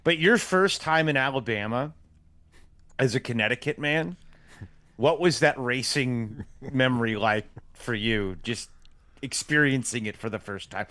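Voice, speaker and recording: Bright-voiced upbeat sports radio host. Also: male voice, mid-range voice, unhurried, no echo. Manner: upbeat, joking banter. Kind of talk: sports radio talk show.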